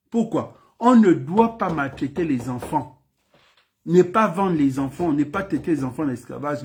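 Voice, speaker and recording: A man from Gabon with a Gabonese French accent, giving an impassioned political speech full of repeated phrases and rhetorical questions. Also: sits high. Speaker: political commentator.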